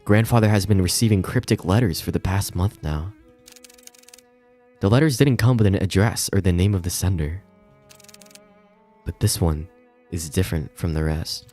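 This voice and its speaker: A man reading a visual novel aloud as dramatic, measured narration. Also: low-pitched, a little mellow, faint music behind.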